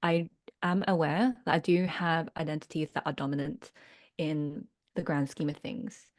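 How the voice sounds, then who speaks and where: A woman's voice: deep voice, little pitch movement, normal speed. Woman leading an online workshop. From United Kingdom. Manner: earnest, reflective, checks in with listeners. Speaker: workshop leader and business coach.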